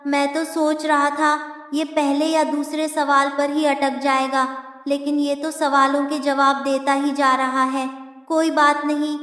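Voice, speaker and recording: A woman's voice: high voice, flat delivery, unhurried, a bit bright, no echo, studio quality, soft background music. A woman voicing a greedy, scheming male village clerk in a children's cartoon, sly and taunting. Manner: sly taunting, repeats a catchphrase.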